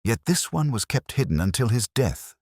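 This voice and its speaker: A man voicing a calm, shrewd male police inspector, soft-spoken, deliberate and probing. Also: mid-range voice, no echo, studio quality, voice pushed up.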